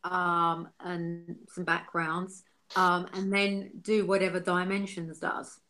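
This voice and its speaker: A woman's voice: fairly low pitch, monotone, takes it slowly, pushed and bright. A woman from the UK, a designer, talking frankly in a casual interview, full of fillers and restarts. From United Kingdom. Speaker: designer interviewed as software user.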